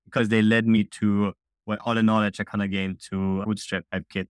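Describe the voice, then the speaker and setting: Young male founder from Austria, casual and eager, slightly low pitch, dry close mic. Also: level tone, smooth, no echo. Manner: upbeat, quick, chatty with many fillers. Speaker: guest, indie founder. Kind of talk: casual interview answers.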